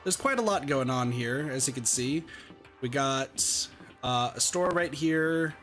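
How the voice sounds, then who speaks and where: Guy hosting a game playthrough, chatty explainer, lots of up and down, soft music behind. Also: mid-range voice, expressive, a little slow. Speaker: host playing a visual novel with friends. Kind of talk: casual gameplay chat with explanations.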